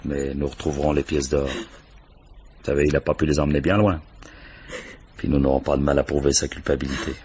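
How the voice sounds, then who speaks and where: An actor playing a patient, insistent, weary police commissioner in a radio crime drama, questioning in a coaxing, unhurried way. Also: male voice, very deep voice, flat delivery, a little mellow, slightly rough. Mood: insistent, confident.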